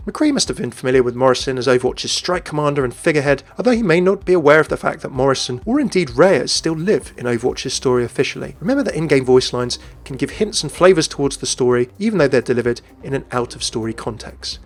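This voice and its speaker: A man's voice: medium pitch, animated, fast, few pauses. A man hosting a gaming video, narrating game lore in a chatty, upbeat way. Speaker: video host.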